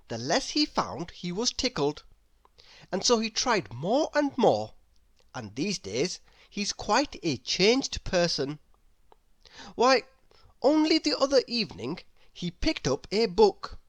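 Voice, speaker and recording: A woman reading a children's storybook aloud as an animated narrator. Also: mid-range voice, no room sound. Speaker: storybook reader.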